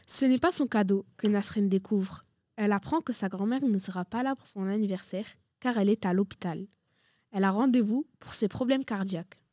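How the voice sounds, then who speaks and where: A woman narrates a radio story from a script. Her voice is soft-edged and mellow, recorded in a dry space. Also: mid-range voice, brisk, no echo.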